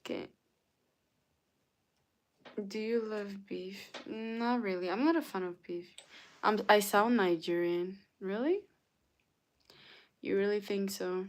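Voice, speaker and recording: A woman with as her hosting a casual livestream, answering viewers' comments and sometimes dropping to a soft whisper. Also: mid-range voice. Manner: slow, chatty, often drops to a soft whisper. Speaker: livestream host.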